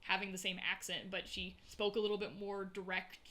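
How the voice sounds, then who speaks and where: Southern woman cohosting a podcast, bright clear voice, chatty and animated. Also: medium pitch, brisk. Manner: chatty, many "like" fillers, animated storytelling. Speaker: podcast co-host. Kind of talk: casual chat.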